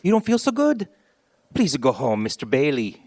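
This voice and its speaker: An actor playing a warm, protective, fussing immigrant barkeeper in a live radio play. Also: male voice, medium pitch, wildly swooping pitch, moderate pace, dry recording, clean studio sound. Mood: concerned.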